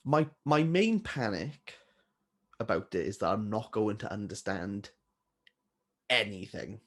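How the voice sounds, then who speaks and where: A man co-hosting a casual book chat, self-deprecating and quick to laugh. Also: lowish voice, animated. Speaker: booktuber co-host.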